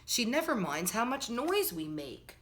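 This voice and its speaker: A woman's voice: fairly high pitch, very animated, fairly bright tone. A woman hosting a children's storytime, reading a picture book aloud expressively and speaking directly to young listeners. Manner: slow, addresses children directly, storyteller phrasing. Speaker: children's storytime host and reader.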